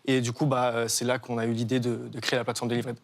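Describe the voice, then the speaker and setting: A man's voice: mid-range voice, flat delivery, rapid-fire. A young man, guest on a TV talk show, explaining his charity with many fillers and restarts. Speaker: guest, association co-founder. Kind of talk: TV studio interview.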